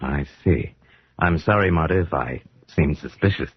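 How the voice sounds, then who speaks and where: An actor playing a cool, shrewd detective hero, brisk and commanding, in 1940s radio style. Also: male voice, very deep voice, slightly rough, no room sound. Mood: probing. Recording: muffled 1940s radio recording, faint noise.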